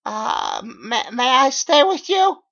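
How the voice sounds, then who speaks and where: A man voicing an awkward, whiny, earnest geeky man in his twenties in a halting, drawn-out way, for a dramatic story reading. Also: very high-pitched, expressive, vocal fry, pushed and bright, highs cut off, voice pushed up, heavily acted. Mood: awkward, hesitant.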